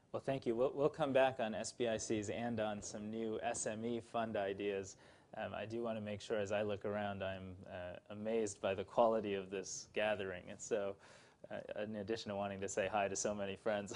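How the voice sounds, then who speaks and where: Flat-toned man moderating a panel. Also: lowish voice, slight background noise. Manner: brisk, courteous, steering the talk. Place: conference hall.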